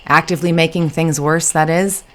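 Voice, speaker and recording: A woman's voice: deep voice, steady tone, moderate pace, fairly bright tone. A woman voicing an apologetic, eager man in an audiobook, earnest and flustered. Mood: self-mocking. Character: apologetic, clumsy, eager man, rich and charming. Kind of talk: audiobook character dialogue.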